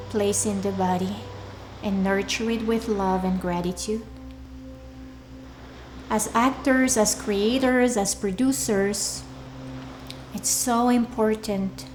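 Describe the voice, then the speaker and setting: A woman leading a guided meditation in a soothing, deliberate way, leaving pauses between short phrases. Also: medium pitch, poor audio. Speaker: meditation guide, workshop leader. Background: soft background music.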